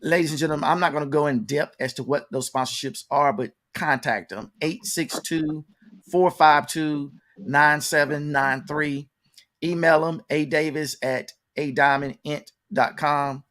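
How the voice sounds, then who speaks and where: Higher-voiced man hosting an informal interview. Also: steady tone, unhurried, fairly bright tone. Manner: announcer-like addresses to the audience, informal. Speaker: interview host and promoter. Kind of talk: conversational radio-style interview.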